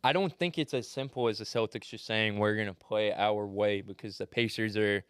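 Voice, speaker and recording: A man's voice: fairly low pitch, steady tone, moderate pace, a bit bright, clear, resonant tone, no echo, studio quality. A young man co-hosting a podcast, chatting casually about basketball with swearing and banter. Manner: profane, laughing banter. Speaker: podcast co-host. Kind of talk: casual sports chat.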